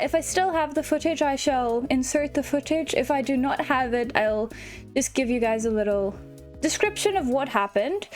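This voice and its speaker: A woman hosting a gaming video with casual, chatty, informal commentary. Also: on the high side. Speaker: gaming channel host.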